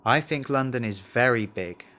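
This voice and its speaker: A man's voice: mid-range voice, moderate pace, sharp tone. A man reading a scripted language lesson, carefully enunciating model words and sentences. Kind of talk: scripted language drill, read aloud.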